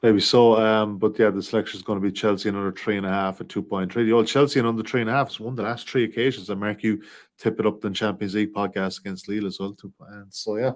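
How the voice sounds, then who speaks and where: A man hosting a football betting podcast, reading out odds and handing questions to his guests in a brisk, conversational way. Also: on the low side, steady tone. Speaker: podcast host.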